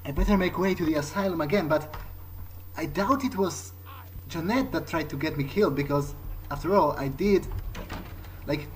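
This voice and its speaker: A man role-playing through a video game, thinking aloud in halting, in-character commentary. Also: fairly high pitch, slight background noise, low-quality recording. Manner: halting, muttered self-talk with swearing. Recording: loud game music behind. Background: video game music.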